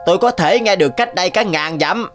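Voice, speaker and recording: Eager, higher-voiced fairy-tale sidekick. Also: male voice, expressive, brisk, music in the background. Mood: surprised, boastful. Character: odd loyal fairy-tale servants with strange powers, good-natured, eager. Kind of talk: animated fairy-tale dubbing.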